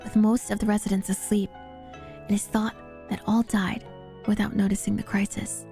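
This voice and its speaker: A woman's voice: medium pitch, little pitch movement, moderate pace, gravelly, mellow, faint music behind. A woman VTuber reading scripted story narration aloud in a dramatic readalong style.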